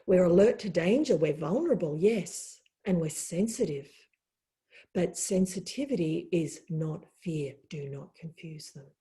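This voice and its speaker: A woman voicing a calm, wise female deer in a storybook tale, gentle and soft-spoken. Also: high-pitched, measured pace.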